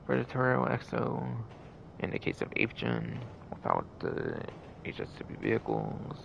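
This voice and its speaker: A man's voice: medium pitch, huge pitch swings, measured pace, gravelly, lo-fi sound, background noise. A man hosting a game livestream with casual commentary, rambling and often repeating and second-guessing himself.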